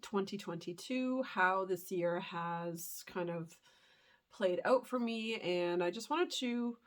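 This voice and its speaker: A woman's voice: medium pitch, takes it slowly. Congested woman musing to camera. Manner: earnest, musing, many fillers. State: congested from a cold. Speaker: spiritual YouTuber. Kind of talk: reflective vlog talk to camera.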